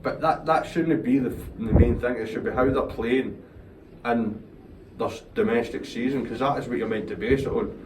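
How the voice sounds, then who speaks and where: A man's voice: mid-range voice, moderate pace, recorded from a distance, noisy background. A young Scottish man chatting casually about football, opinionated and rambling, swearing now and then. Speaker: co-host. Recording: roomy echo, slightly off-mic, faint ambience.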